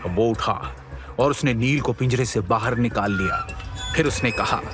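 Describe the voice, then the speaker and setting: A man narrating a scripted fantasy audio drama in an expressive, suspenseful storytelling manner. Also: mid-range voice, unhurried, over music.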